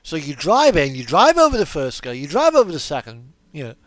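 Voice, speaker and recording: A man giving casual gameplay commentary as host of a truck simulator video, rambling and halting with trailing asides. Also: a little high, wildly swooping pitch, a bit bright, dry recording.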